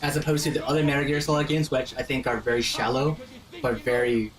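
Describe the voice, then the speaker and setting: A man's voice: mid-range voice, level tone, fast talker, a crowd in the background. A man in casual gaming commentary, explaining things to friends in an enthusiastic, informal, slangy way. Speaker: host explaining the game to friends.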